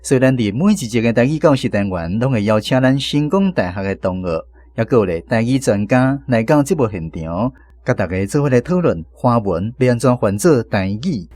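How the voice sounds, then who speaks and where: A man's voice: mid-range voice, lively intonation, moderate pace, darkish tone. A man with a Taiwanese accent hosting a radio segment, presenting from a script and introducing guests. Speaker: radio host.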